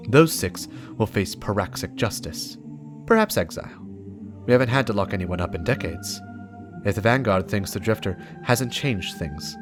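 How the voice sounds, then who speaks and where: Man performing a stern, low-voiced sci-fi investigator. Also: close to an everyday voice. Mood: grim, resolute. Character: stern, driven sci-fi investigator dictating secret field reports. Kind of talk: dramatic reading of game lore. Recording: music behind the voice.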